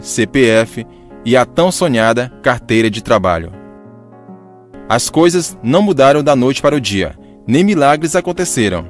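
A man with a Brazilian accent reading scripted narration for a radio drama. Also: mid-range voice.

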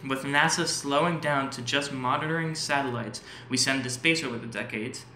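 A man's voice: medium pitch, moderate pace, sharp tone, natural voice. A man playing a polite NASA employee giving a formal presentation in an audio drama. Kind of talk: scripted presentation. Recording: roomy echo, faint background noise.

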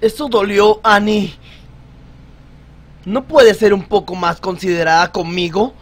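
A man's voice: high-pitched, steady tone, a little slow. A man playing a hot-headed teenage boy soldier in an amateur Latin American Spanish anime fan dub. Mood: annoyed.

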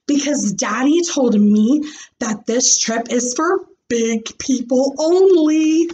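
Woman as an excitable, high-pitched little schoolgirl. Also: slow, lo-fi sound. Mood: boastful. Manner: shouting, exclamatory, emphatic. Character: excitable, boastful, dramatic young schoolgirl. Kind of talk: acted children's storybook reading. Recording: slightly noisy home recording with clicks.

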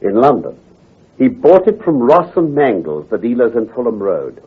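Assured detective, radio mystery, precise, lively intonation, very thin lo-fi sound. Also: male voice, medium pitch, moderate pace, slightly rough. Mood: assured, explanatory. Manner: precise, authoritative, expository. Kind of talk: radio drama acting. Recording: tinny, hazy old radio recording.

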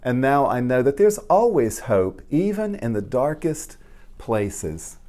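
Little storybook mole child done by a man, raised pitch, earnest, wide-eyed, simple exclamations. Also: big pitch swings, takes it slowly, dark tone. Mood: excited, hopeful. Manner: high, plaintive, childlike exclamations.

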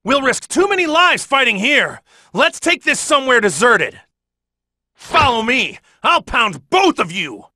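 High, bright, husky anime hero. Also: male voice, big pitch swings, a little slow, no room sound, studio quality, heavily acted. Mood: determined, defiant. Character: brave, plain-spoken male martial-arts hero, cheerful but determined. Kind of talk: English anime game voice acting.

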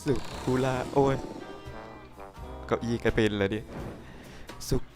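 A man's voice: steady tone, takes it slowly, music in the background. A man doing improvised comedic cartoon dubbing, exclamatory and theatrical, with crude, slangy wording. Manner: theatrical, often jumps into shrieks and falsetto. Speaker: comic dubber of a cartoon.

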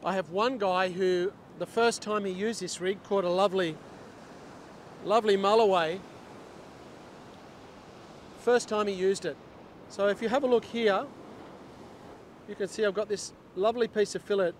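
A middle-aged man with a fairly high voice talks through his beach fishing in a steady, step-by-step way. He is on a lapel mic on an open beach, with wind and surf clearly heard underneath. He sounds like a fishing instructor working with his hands as he explains. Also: normal speed, pauses often.

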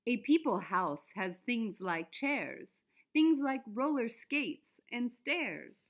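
A woman reading a children's storybook aloud in a deliberate, expressive way for young listeners. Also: on the high side. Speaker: children's book reader.

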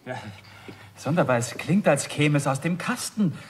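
A man's voice: a little high, expressive, moderate pace, slight background noise, lightly acted. A man playing a nervous, bewildered watchmaker in a children's radio play, stammering and hesitant. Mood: puzzled.

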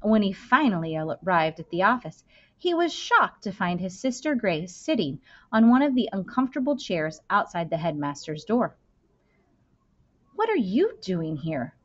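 A woman in her thirties reading a children's novel aloud expressively, with deliberate phrasing and dramatic stresses. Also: on the low side. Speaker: school librarian reading aloud. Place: indoor room. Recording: dull, lo-fi webcam-style sound.

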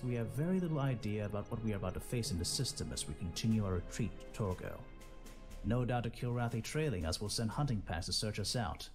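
An actor playing a stern, steady major giving a formal, measured military briefing in a space game. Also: male voice, fairly low pitch. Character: stern, steady male squadron leader, a major. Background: loud music.

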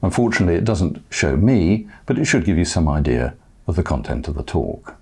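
A man in his sixties introducing his lecture clips to camera, in a measured, amiable way, recorded in an indoor meeting room on a lapel mic. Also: low-pitched, animated, darkish tone, slightly rough. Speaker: cruise ship lecturer. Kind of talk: promo talk to camera.

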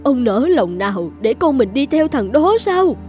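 Casting: tender cartoon matriarch, high exclaiming voice, pleading, light music underneath. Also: female voice, big pitch swings, a little slow, a little mellow. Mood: pleading, doubtful. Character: soft-hearted middle-aged mother, a doting new grandmother. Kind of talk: animated fairy-tale dubbing.

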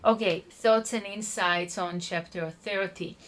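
A woman's voice: medium pitch, fairly even intonation, unhurried, slight background noise. A woman teaching an online Bible study, explaining patiently and checking in with her listeners. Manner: explanatory, spells out words, checks in with "okay?".

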